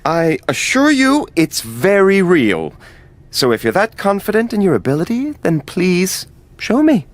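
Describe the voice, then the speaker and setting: An actor playing an eccentric, unflappable film director with a pretentious, earnest artistic way of talking in a game cutscene. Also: male voice, high-pitched, huge pitch swings, measured pace, dry recording, full character voice. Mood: earnest, calm. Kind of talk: comedic video game cutscene acting.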